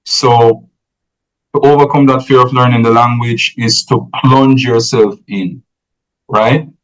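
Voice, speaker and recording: A man, a podcast guest giving measured, explanatory advice who often checks in with "right?". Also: mid-range voice, fairly even intonation, poor audio.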